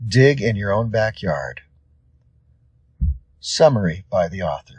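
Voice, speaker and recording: A man narrates from a script in a measured style. His delivery is slow and his voice is husky and a little low. There is very little room sound around him. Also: big pitch swings, darkish tone, no room sound. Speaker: audiobook narrator.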